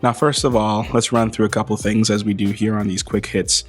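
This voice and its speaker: A man hosting an aviation show, talking casually and wryly, a little hoarse from losing his voice, recorded beside a plane in a hangar on a small wireless mic. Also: on the low side, brisk, faint music behind. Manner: wry, playful, lively delivery. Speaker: aviation channel host. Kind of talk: casual monologue to camera.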